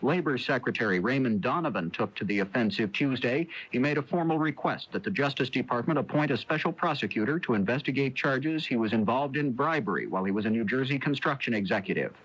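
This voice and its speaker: A man's voice: medium pitch, lively intonation, fast talker, hardly any pauses, fairly bright tone, breathy edge. A man with an American accent reading scripted network news as a correspondent, heard on a 1980s radio recording. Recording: dull lo-fi 1980s radio broadcast tape.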